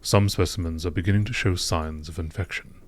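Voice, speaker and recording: A man reading scripted scientific narration in a nature documentary style, measured, clinical and matter-of-fact. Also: sits low, steady tone, slight background noise. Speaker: narrator reading game lore entries.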